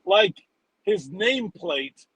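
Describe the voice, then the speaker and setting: A man's voice: high voice, animated, slow pace, fairly bright tone, slightly rough, no echo. A man chatting casually as a sports reporter and podcast co-host, wandering into conversational asides.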